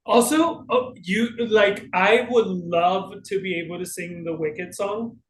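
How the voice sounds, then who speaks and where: A man's voice: high-pitched, takes it slowly. A man co-hosting a casual question-and-answer chat in a hesitant, self-deprecating way. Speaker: podcast co-host. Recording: echoey room, faint noise.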